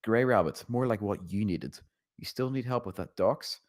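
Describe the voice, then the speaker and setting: A man's voice: fairly low pitch, moderate pace. A man narrating a screenplay, reading out the scene directions.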